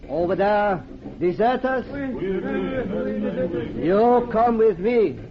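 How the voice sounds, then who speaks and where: An actor playing a curt, menacing voodoo sentry who drags out challenges in a 1940s radio drama. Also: male voice, high voice, expressive, takes it slowly, crowd noise. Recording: dull vintage radio recording.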